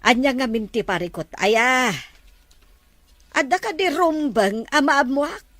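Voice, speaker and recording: A woman's voice: high-pitched, lively intonation, unhurried, a bit bright, dry recording. A woman playing a concerned, talkative middle-aged Filipino mother who chides and counsels in a family drama. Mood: concerned, counselling. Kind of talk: naturalistic drama dialogue.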